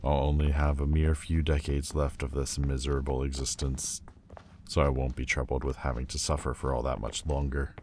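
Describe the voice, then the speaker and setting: Man playing a very deep, haughty fallen demon lord. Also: flat delivery, a little mellow, a little hiss or hum. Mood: wistful, self-mocking. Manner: haughty, theatrical, hesitant pauses. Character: proud, wounded male demon lord turned mortal. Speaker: audio roleplay voice actor. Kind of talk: dramatic ASMR roleplay monologue.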